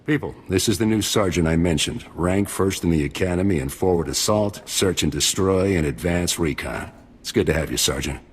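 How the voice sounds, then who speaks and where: A man playing a composed special-forces commander in a video game, speaking in a measured, authoritative, formal way. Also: deep voice, expressive, husky. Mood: proud. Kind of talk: video game cutscene acting, briefing.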